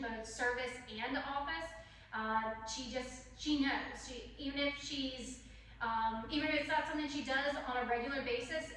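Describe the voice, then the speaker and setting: A woman's voice: fairly high pitch, pushed and bright, slight background noise. A woman in a property office team, talking casually with many ums and restarts in a team video. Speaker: property office staff member. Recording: echoey room from far off.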